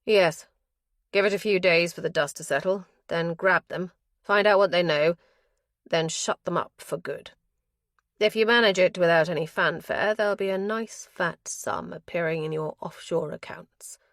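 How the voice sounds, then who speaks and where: A woman's voice: on the low side, normal speed, dry recording, studio quality, close to an everyday voice. A woman narrator voicing a retired male police chief turned ruthless crime boss, giving cold, clipped orders. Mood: cold commanding.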